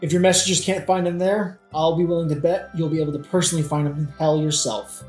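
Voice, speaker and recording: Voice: mid-range voice, fairly even intonation, quick pace, a little mellow, natural voice. An actor playing a flippant, sardonic, brooding young prince in a satirical modern-language audio play. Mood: flippant, morbid. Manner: flippant, mocking, theatrical. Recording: roomy echo, faint music behind.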